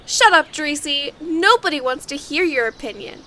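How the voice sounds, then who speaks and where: A woman's voice: high-pitched, animated, moderate pace, bright, forceful tone, a little hiss or hum. A woman playing an irritable, curt creature gang member in an audio drama. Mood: irritated.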